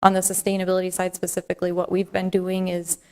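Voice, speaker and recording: Soft-spoken woman presenting to council. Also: lowish voice, completely flat delivery. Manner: measured, explanatory, with fillers and restarts. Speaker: city staff presenter. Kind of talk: formal presentation at a council meeting.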